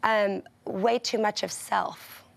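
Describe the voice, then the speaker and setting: A woman's voice: mid-range voice, steady tone, slow, creaky. A middle-aged woman, a guest singer and author, telling her story in casual talk-show conversation, earnest and often laughing as she talks. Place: television studio with a live audience.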